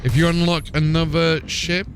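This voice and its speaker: A man's voice: a little high, little pitch movement, normal speed, a crowd in the background. A man chatting casually and informally as a live game streamer, in a matey way with the odd mild swear. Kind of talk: casual gaming livestream chat.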